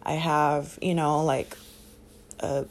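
A woman in her thirties hosting a casual solo podcast, relaxed and chatty with many fillers and soft laughs, her voice sounding sleepy. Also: low-pitched, slow. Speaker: podcast host.

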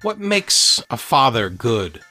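A man narrating his own book as an author and podcast host, in an earnest, measured, motivational way. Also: medium pitch, big pitch swings, bright, forceful tone, soft background music. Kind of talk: scripted audiobook narration.

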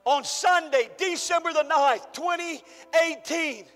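Male pastor, fervent shouting preacher, strained voice, bright edge, echoing church hall. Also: very high-pitched, a little slow, soft background music. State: losing his voice. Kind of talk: sermon.

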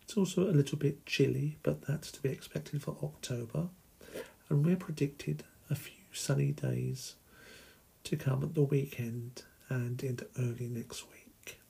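Solo podcast host, man from England, middle-aged, slow deliberate delivery, gravelly husky voice. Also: medium pitch, steady tone, dark tone.

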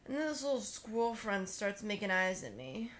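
A woman's voice: medium pitch, sing-song, moderate pace, a bit bright. A woman co-hosting a video, chatting casually and telling anecdotes in a playful, slangy way. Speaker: video co-host.

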